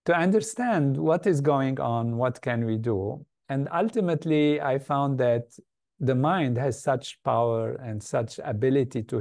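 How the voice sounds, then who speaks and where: Older man from Lebanon, interview guest, thoughtful and measured, warm low-key tone. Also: creaky. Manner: measured, thoughtful, with frequent pauses and fillers. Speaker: guest, meditation organization leader. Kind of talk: reflective interview answers.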